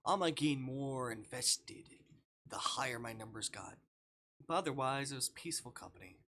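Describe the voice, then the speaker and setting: A man's voice: medium pitch, animated, moderate pace, sharp tone. A man live-streaming a game, reading a visual novel aloud dramatically with playful asides.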